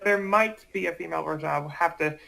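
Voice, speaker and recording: Halting man with a creaky, bright voice. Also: on the high side. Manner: halting, repeats and corrects self, explanatory. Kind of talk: casual chat.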